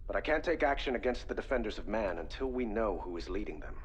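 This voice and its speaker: A man's voice: lowish voice, lively intonation, quick pace, slight background noise, lightly acted. A man playing a grave, principled ruler in a video game cinematic, speaking formally and firmly. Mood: grave, cautious. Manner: formal, firm.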